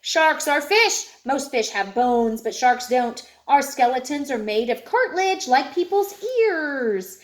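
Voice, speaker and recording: Woman voicing an eager, high-pitched storybook shark. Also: animated, measured pace, hardly any pauses. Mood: proud, informative. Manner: eager, showy, exclamatory.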